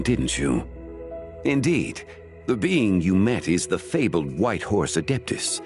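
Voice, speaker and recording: Brief: a formal, knowing elder scholar; deep and measured, over faint game music. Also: male voice, exaggerated voice. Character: calm ancient scholarly man, composed and knowing. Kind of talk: video game voice acting.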